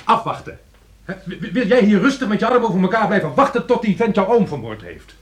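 Blustering indignant sceptic, radio drama, slightly high voice, wide swinging intonation, scolding. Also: male voice, very animated, fast talker. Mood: appalled. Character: indignant, sceptical man appalled by his reckless friend. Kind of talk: radio play acting.

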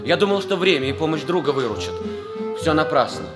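An actor playing a noble, lovelorn young cavalry officer in a theatrical, sighing manner in a 1960s radio comedy. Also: male voice, a little high, expressive, a little fast, close to an everyday voice. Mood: grave resignation. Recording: 1960s radio play with music behind.

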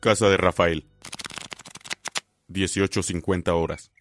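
An actor as a solemn scene-setting narrator in a scripted radio drama. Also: male voice, deep voice, fairly even intonation, measured pace, over music.

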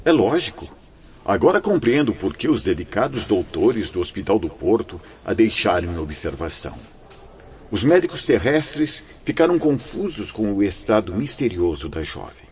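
A man's voice: on the low side, big pitch swings, normal speed, darkish tone, husky, full character voice. A man playing a wise, kindly priest and spirit mentor, narrating solemnly and theatrically in a radio drama. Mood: concerned. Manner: solemn, preachy, theatrical phrasing. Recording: dull, muffled radio-play recording.

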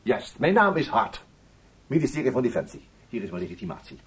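An actor playing a courteous, quietly sly government investigator in a radio play, with a husky voice and a hesitant, musing way of questioning. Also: male voice, mid-range voice, big pitch swings, brisk. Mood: courteous, probing. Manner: hesitant, polite, musing, repeats himself. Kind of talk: Dutch radio drama acting.

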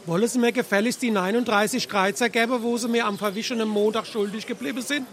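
Hartnäckiger hessischer Gläubiger, hohe Stimme. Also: male voice, high-pitched, fairly even intonation, fast talker, a bit bright. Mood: insistent. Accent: Hessian (Darmstadt). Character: stubborn creditor pestering a debtor for small change. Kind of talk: dialect stage comedy acting.

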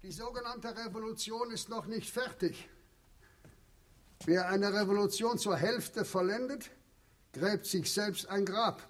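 A zealous male revolutionary speaks in a high-pitched voice. He orates with cold, doctrinaire insistence and stretches phrases for weight, as in a political radio drama. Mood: doctrinaire, insistent. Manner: rhetorical, declamatory, with drawn-out emphatic phrases.

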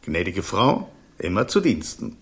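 A loyal enchanted serpent and guardian spirit in a radio fairy tale. The voice is fairly low, with a courtly, theatrical manner. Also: male voice, sing-song, measured pace, a bit bright, husky, exaggerated voice. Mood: cheeky politeness. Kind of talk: German radio play acting.

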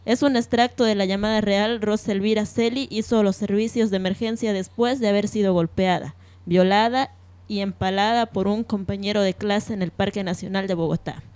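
Woman hosting a radio programme, halting delivery. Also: mid-range voice, steady tone, darkish tone, smooth. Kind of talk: semi-scripted radio presenting with news reading.

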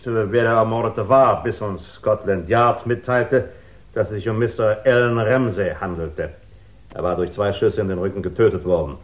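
A man as a dour village inspector with a fairly low voice. He speaks in a factual, official manner, as in a 1960s radio crime play. The recording is dull. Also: lightly acted. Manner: matter-of-fact, official. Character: dour village police inspector giving evidence. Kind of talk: 1960s German radio crime drama acting.

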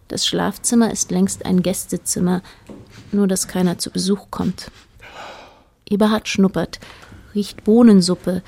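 A woman narrating a literary radio drama in a dry, measured, quietly ironic manner. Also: mid-range voice, gravelly.

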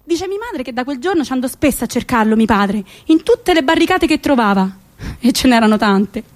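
A woman's voice: high-pitched, lively intonation, brisk. A woman delivering theatrical storytelling narration as a stage actress performing a solo play. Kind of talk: theatrical monologue narration in Italian.

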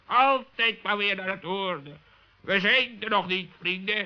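A man voicing a loyal, plucky talking bird in a children's radio play, earnest and a little halting. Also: high-pitched, voice pushed up. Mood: weary, plucky. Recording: dull vintage radio recording.

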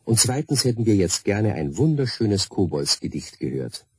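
A man with a husky voice narrating a children's radio play in a playful, wry storytelling manner. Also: on the low side, big pitch swings, normal speed, darkish tone, dry recording. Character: wry male storybook narrator, friendly, unhurried.

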